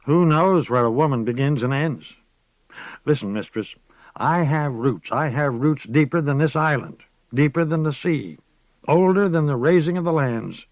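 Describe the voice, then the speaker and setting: Man doing old earthy witch woman, folksy rustic speech, high and singsong, dull lo-fi dry recording. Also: moderate pace, dry recording, close to an everyday voice. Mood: chanting, mystical. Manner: folksy rustic speech, calls people dearie; rocking, chanting incantation. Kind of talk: audiobook character voice.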